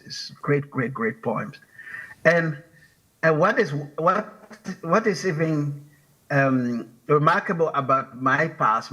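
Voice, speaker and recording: Husky, bright-voiced man from Liberia. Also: mid-range voice, rough recording. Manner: hesitant, many restarts and laughs. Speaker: writer on a panel. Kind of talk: casual conversation on stage. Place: stage of a public talk venue.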